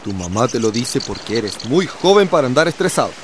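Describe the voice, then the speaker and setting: A man's voice: medium pitch, big pitch swings, brisk, background noise, lightly acted. An actor playing a good-natured, improvising Chilean family father in a radio drama. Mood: affectionate.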